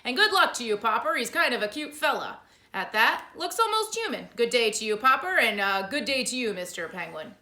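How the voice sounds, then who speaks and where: A woman's voice: fairly high pitch, sing-song, brisk, pushed and bright. A woman voicing a puzzled, folksy male policeman who talks hesitantly, recorded in an echoey room.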